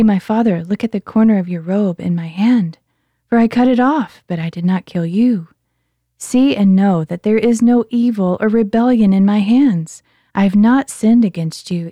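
A woman's voice: medium pitch, dark tone, no room sound, natural voice. Woman voicing a young male outlaw, earnest, pleading, raised voice, dry close sound.

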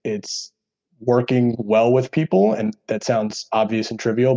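An American man with a deep voice giving earnest, explanatory interview answers as a podcast guest, with frequent asides. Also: warm tone, highs cut off. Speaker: podcast guest, real estate executive.

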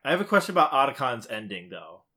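A man with a bright, crisp-edged voice at medium pitch. He talks as a podcast co-host in loose, casual conversation, adding wry commentary. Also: big pitch swings, fast. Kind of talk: casual chat about video games.

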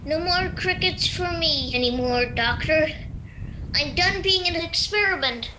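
Child actor as runaway male turtle, audio drama. High, slow, resigned but defiant, noisy recording. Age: child, nine to twelve. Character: defiant, weary escaped bioengineered turtle, male. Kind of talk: youth audio drama acting. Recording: clearly noisy background.

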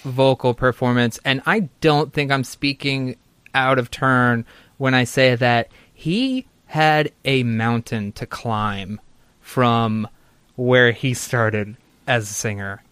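A man hosting a conversation, speaking in a measured, thoughtful way. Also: medium pitch, fairly bright tone, clear, resonant tone, no echo. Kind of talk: casual conversation.

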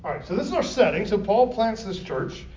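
Man teaching a Bible class, higher voice. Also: very animated, fairly quick, darkish tone. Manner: conversational, asks the class questions often. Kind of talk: classroom Bible lesson with questions to the group. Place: classroom or church meeting room. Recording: roomy, distant, dull lo-fi with faint noise.

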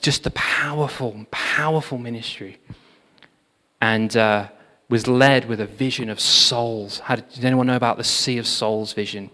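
Man, preacher, storytelling sermon, animated, echoing church hall. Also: medium pitch, moderate pace. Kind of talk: sermon on revival history. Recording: long hall reverb.

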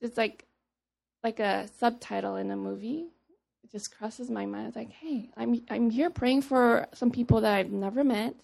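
Earnest woman with a gravelly edge. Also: fairly high pitch, fairly even intonation, moderate pace, a little mellow. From Papua New Guinea. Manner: earnest, conversational, restarts and acts out dialogue. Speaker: speaker sharing a testimony. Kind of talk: personal testimony talk.

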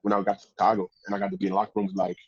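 A man, a professional football player, telling stories in a casual interview with a deep voice and a loose, rambling way of talking. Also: monotone, very fast, husky, highs cut off. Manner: laid-back, rambling with restarts. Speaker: pro football player guest.

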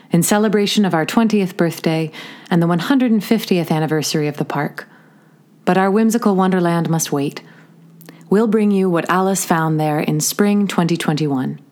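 A woman with a slightly low voice reads scripted narration as the host of a theatre production. She is measured and articulate. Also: a little mellow. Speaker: theatre company host introducing audio drama.

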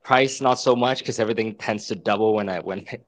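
A man's voice: lowish voice, quick pace, no echo. A man from Hong Kong in his thirties, a podcast guest speaking in a friendly, chatty way. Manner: friendly, chatty, self-deprecating. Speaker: guest, fitness educator. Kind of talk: casual interview chat.

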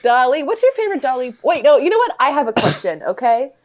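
Playful giggly woman hosting a podcast, kinda high voice, keeps the chat moving. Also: big pitch swings, quick pace. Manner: playful, giggly, steers the talk. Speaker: podcast co-host. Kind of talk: casual podcast banter.

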